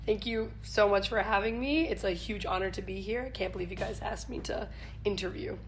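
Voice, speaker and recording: A woman's voice: mid-range voice, a little fast. An American woman in her twenties chatting casually as an interview guest, with an informal, giggly manner. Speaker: interview guest, camp staff leader. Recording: noticeable background noise.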